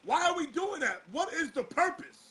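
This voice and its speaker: Male host, very high bright voice, a bit hoarse, exasperated rhetorical talk-show riffing. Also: very animated, moderate pace. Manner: fires rhetorical questions, exasperated. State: slightly hoarse. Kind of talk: casual talk-show commentary.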